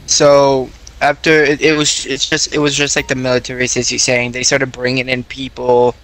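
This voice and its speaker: A man playing a shaken apocalypse survivor who speaks haltingly and stumbles over words in a game roleplay. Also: medium pitch, monotone, fairly bright tone, close to an everyday voice, low-quality recording. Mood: shaken, weary. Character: shaken male survivor recounting military killings. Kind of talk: improvised game roleplay drama.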